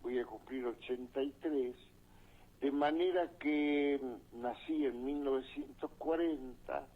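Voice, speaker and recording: A man in his eighties from Uruguay, a sports journalist reminiscing in a radio interview, lingering over his words and repeating phrases. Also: medium pitch. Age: 80s. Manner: slow, savoring, repeats phrases warmly. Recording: dull lo-fi archived radio interview.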